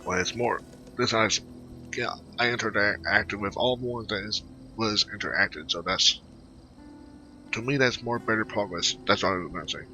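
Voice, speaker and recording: Low-voiced man rambling over game music. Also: bright, forceful tone, rough recording. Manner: rambling, halting, repetitive. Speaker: gaming video host. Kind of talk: casual game playthrough commentary.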